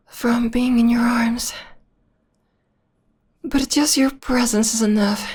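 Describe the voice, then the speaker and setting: A possessive female vampire lover, acted by a woman for an ASMR roleplay, speaks in a breathy, whispery voice that sits fairly high. She is slow and lingering, clingy and tender, and her pitch stays very even as she dotes on her beloved.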